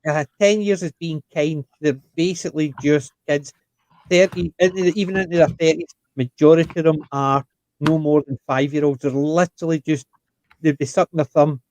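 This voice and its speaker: A man's voice: on the high side, fairly even intonation, normal speed, a little mellow, low-quality recording. A man, chatting and telling stories with quoted asides in a casual panel conversation.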